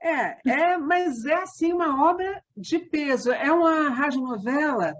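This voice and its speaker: A woman's voice: high-pitched, expressive, a little slow. A woman with a Brazilian accent chatting casually as an interview guest, telling things emphatically. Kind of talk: casual chat.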